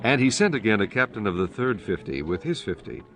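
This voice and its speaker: Low-pitched, animated male scripture narrator. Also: brisk. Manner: solemn, formal. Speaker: audiobook narrator. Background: music.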